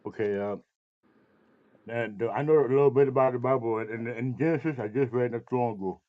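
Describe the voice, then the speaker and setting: A man's voice: mid-range voice, fast, dry recording. A man calling in with a question, speaking hesitantly and politely, heard over a phone line. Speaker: caller.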